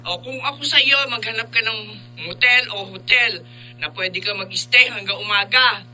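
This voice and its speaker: An actress playing a concerned, practical middle-aged mother, briskly giving advice in a radio drama. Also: fairly high pitch, sharp tone. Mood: concerned, advising. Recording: music under the voice. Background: music.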